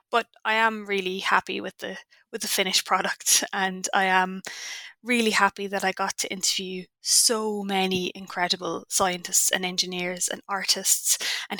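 A woman's voice: medium pitch, sharp tone, no echo. A young adult woman with an Irish accent, an author guest in a podcast interview, speaking thoughtfully with frequent ums.

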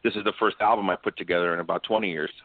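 A low-voiced man talks about singing over a remote call, explaining his views at length and filling pauses with ums. The line is thin and phone-like, with no room sound around him. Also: fairly even intonation, no room sound. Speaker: opera singer and guest. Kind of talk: remote interview conversation. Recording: dry tinny call line.

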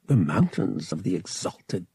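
Man voicing a formal alien priest, raised pitch. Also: sing-song, creaky, dark tone, breathy edge, no room sound, heavily acted. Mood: formal, proud. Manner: formal, measured, explanatory. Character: alien priest, formal male guide to pilgrims.

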